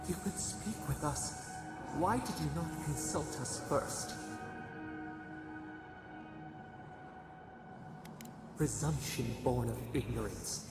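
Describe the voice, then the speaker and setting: An ancient spirit of the wild in a fantasy game, deeply creaking and gravelly. It intones slowly and solemnly, mournful and grand, in a cavernous echo beneath loud music. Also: male voice, distant mic. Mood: grave, reproachful. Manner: slow, incantatory, portentous.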